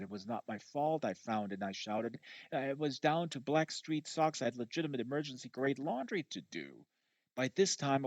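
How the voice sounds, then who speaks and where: A dull, lo-fi recording with almost no room sound carries a man's voice. He reads a first-person novel aloud with an expressive, conversational delivery and a medium pitch. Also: no echo. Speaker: audiobook reader. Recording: dull, lo-fi audio.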